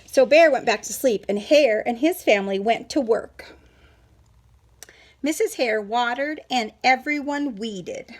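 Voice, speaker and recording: A woman reading a picture book aloud to children in an animated, teacherly way, pausing to question and explain to her listeners. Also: fairly high pitch.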